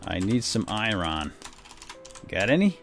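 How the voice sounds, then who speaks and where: A man's voice: fairly low pitch, measured pace, faint music behind. A man hosting a video game series, chatting casually and retelling a story with lots of fillers. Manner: rambling, many fillers, lively retelling. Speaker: let's play host. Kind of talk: casual gameplay commentary and storytelling.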